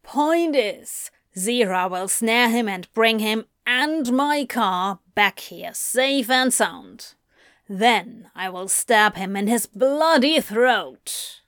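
A woman's voice: fairly high pitch, expressive, slow pace, a bit bright, dry recording, studio quality. A woman voicing a cocky, teasing male demon who drawls and hisses his lines. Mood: blunt. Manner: drawling, hissing, sulky.

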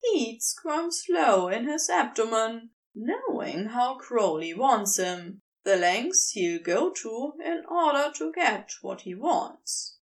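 A woman reading scripted fiction as a narrator, with expressive, deliberate storytelling. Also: fairly high pitch, distant mic, rough recording. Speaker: podfic narrator.

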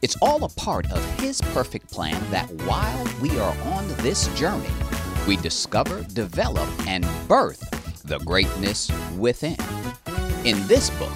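A woman reads an inspirational advert in a remarkably deep voice. Her delivery is slow and solemn, with big swings in intonation. Loud music plays behind her. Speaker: advert announcer. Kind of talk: scripted inspirational book advert.

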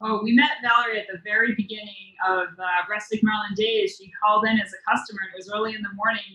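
A woman from Massachusetts in her thirties, a business co-founder and podcast guest telling her story in a lively, quick way. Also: mid-range voice, echoey room, rough recording. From Everett, Massachusetts. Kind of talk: casual interview chat.

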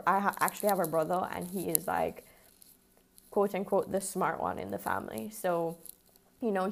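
A woman's voice: fairly low pitch, gravelly. A woman, talking informally and chattily as the host of a casual personal podcast. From Jamaica. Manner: informal, chatty, mild swearing.

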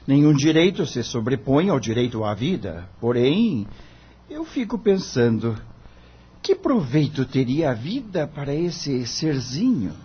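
Very husky, thoughtful Brazilian student. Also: male voice, mid-range voice, very animated, measured pace, exaggerated voice. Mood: troubled, pondering. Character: thoughtful, unsure male student of a judge. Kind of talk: Brazilian radio drama acting. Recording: dull lo-fi audio.

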